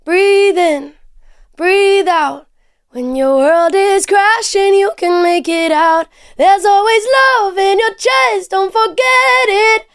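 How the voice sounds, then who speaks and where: A woman singer performing a pop song, gentle and slow. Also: very high voice, no echo, studio quality, faint music behind.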